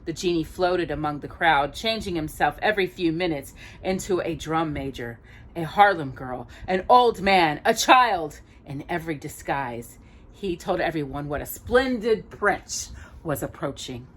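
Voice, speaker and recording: Female storyteller, slightly low voice, very lively pitch; expressive read-aloud for small children, with gentle asides. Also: a little slow. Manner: expressive, emphatic reading with questions to a young listener. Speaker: storyteller and host.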